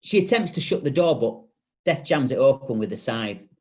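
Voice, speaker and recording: A man's voice: medium pitch, expressive, moderate pace, a little mellow. A man reading stage directions as scripted narration.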